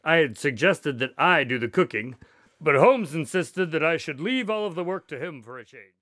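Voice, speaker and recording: A man's voice: a little high, expressive, moderate pace, a bit bright, no room sound. An actor playing a polite, wry, long-suffering doctor who tells his story in a radio drama, formal and good-natured. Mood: genial storytelling.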